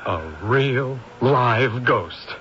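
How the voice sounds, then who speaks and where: An actor playing an eager, fast-talking film producer who hems and haws, in a radio drama. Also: male voice, medium pitch, a bit bright. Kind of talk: 1970s radio drama acting. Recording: dull old radio recording with hiss.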